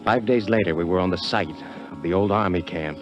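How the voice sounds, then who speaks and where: A male actor plays a hard-bitten American adventurer hungry for treasure. His voice is low and his phrasing clipped and tough, in the manner of 1950s radio drama. The old recording is dull, with music behind him. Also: fairly quick, close to an everyday voice. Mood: grim narrating. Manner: terse, tough. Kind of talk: 1950s radio drama acting, first-person narration.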